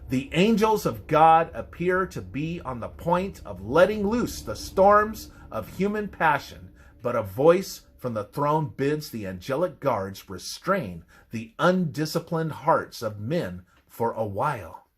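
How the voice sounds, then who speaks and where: Slow, husky man reading solemn devotional narration. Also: a little high, sing-song. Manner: solemn, measured, emphatic phrasing. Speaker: narrator reading a religious book.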